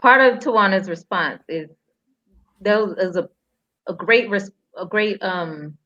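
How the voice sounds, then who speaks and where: A woman's voice: medium pitch, unhurried, bright, forceful tone, muffled recording. A woman with an American accent speaking casually as a panelist, in hesitant, conversational phrasing.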